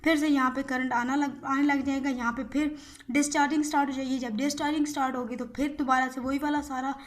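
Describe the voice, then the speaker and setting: A woman's voice: high voice, flat delivery, fast. A young woman giving an informal explanatory lecture, repeating herself, asking and answering her own questions and mixing in English technical terms. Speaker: physiotherapy teacher.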